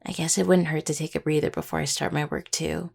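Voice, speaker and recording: A woman playing an affectionate, playfully stubborn young necromancer healer in an intimate roleplay audio, with a husky voice and a halting, casual, teasing way of talking. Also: deep voice, steady tone, a little mellow, full character voice. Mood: fond, teasing. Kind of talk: intimate roleplay audio, one-sided dialogue to listener.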